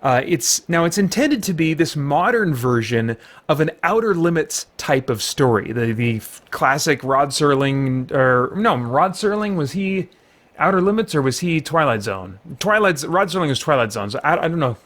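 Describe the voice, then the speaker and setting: Halting man, slightly high-pitched, reviewing. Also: animated, fairly bright tone. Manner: halting, rambling, self-correcting. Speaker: host, film reviewer. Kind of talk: unscripted casual review monologue.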